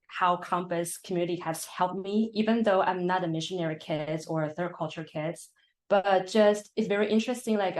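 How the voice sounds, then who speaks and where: Soft-spoken young woman from Taiwan. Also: on the low side. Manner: thoughtful, many fillers like "like" and "you know". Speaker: counseling intern. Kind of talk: live-stream interview.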